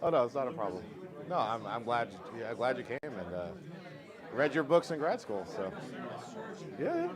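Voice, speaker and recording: Friendly academic guy, bouncy lively voice, lots of yeahs, people chatting behind. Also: medium pitch, moderate pace, pauses often. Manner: friendly, many fillers and repeats. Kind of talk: casual chat. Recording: crowded room chatter behind.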